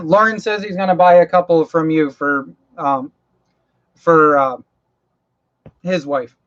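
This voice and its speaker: Man from maine, high-pitched voice, folksy rambling livestream host, tired voice, lo-fi audio. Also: steady tone, slow, fairly bright tone. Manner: rambling, folksy, repeats himself and restarts phrases; practical, walks viewers through mower repairs. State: voice getting tired. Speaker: livestream host answering viewer questions. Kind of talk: casual live chat. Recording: dull lo-fi stream audio.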